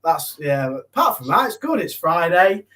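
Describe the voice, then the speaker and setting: A man with a croaky, hoarse voice chatting casually and jokingly on a livestream. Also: a little high, big pitch swings, moderate pace, pushed and bright. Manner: self-mocking, jokey banter. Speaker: co-host.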